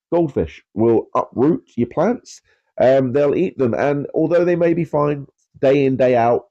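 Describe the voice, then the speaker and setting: A man with a blocked, congested voice talks casually and loosely, stopping often on fillers and laughing off his own pronunciation. His tone is mellow and dark. The recording sounds a bit dull and lo-fi. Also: lowish voice. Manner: rambling, filler-heavy, self-deprecating about pronunciation. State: congested with a cold. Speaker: aquarium channel host. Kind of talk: casual chatty video talk.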